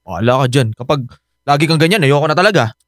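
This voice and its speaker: An actor playing Felix, a clingy young boyfriend in love, emotional and coaxing in a radio romance drama. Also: male voice, fairly high pitch, expressive, fast talker, no room sound, clean studio sound, natural voice. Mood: sulky. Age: young adult.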